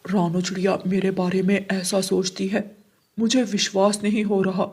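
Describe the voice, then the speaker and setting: Woman doing a subdued, lower-voiced male pigeon. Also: level tone, moderate pace, a little mellow, slightly rough. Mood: hurt, resolute. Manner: subdued, earnest. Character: trusting male pigeon, hurt and gullible.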